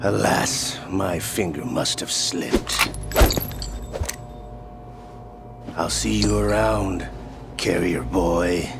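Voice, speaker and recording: An actor playing a sly, mocking older gunman villain in a video game, with a gravelly voice and a taunting drawl. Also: sits low, slow, heavily acted. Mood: smug, taunting. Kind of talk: dramatic video game acting. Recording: loud music over the voice.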